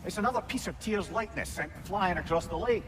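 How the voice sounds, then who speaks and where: Casting: chatty older Scottish advisor for game drama. Highish, lively pitch, wry wit, music underneath. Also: male voice, quick pace. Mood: curious. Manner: wry, wordy, expressive. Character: witty, talkative older male companion, warm-hearted advisor. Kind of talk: video game drama acting.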